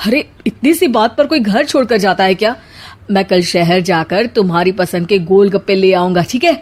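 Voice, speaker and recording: A woman voicing a patient young husband, soothing and mildly exasperated, in an animated moral story. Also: mid-range voice, moderate pace, lightly acted. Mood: reassuring. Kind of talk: animated Hindi story voice acting.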